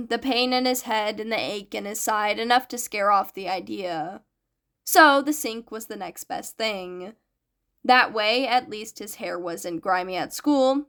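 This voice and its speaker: A woman's voice: a little high, fairly bright tone. A woman reading a scripted fan fiction story aloud as its narrator. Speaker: podfic narrator.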